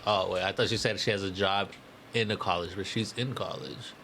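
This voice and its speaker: A man's voice: low-pitched, fairly even intonation, a little fast, creaky, pushed and bright, slight background noise. A man chatting casually as a podcast co-host, slangy and profane, often tagging on "you know what I mean".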